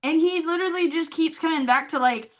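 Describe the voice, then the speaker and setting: A woman's voice: very high-pitched, a little fast. A woman co-hosting a casual comedic podcast, reading messages out with wry mockery, swearing casually and laughing often. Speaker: podcast co-host.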